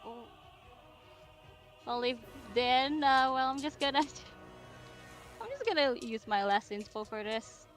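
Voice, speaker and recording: A woman's voice: high voice, lively intonation, slow, long pauses, soft background music. A woman chatting casually as a player in a tabletop role-playing game.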